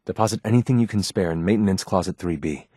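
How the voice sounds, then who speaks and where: An actor voicing a guarded, earnest male android rebel leader in a video game, with formal, measured, grave speech and a husky voice. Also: low-pitched, mellow, no echo, studio quality. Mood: urgent, secretive. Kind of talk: scripted game character dialogue.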